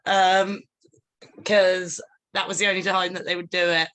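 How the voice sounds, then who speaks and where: A woman's voice: lowish voice, level tone, measured pace, fairly bright tone. A woman speaking as an interview guest, chatting in a breezy, jokey and informal way. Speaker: interview guest, band singer. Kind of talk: casual chat.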